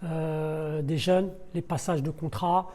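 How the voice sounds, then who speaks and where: A man's voice: a little high, flat delivery, takes it slowly, mellow. A middle-aged man, not a native French speaker by birth, explaining his work in an interview, searching for his words and repeating them. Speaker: deputy sporting director of a football club. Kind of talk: podcast interview, spontaneous explanation.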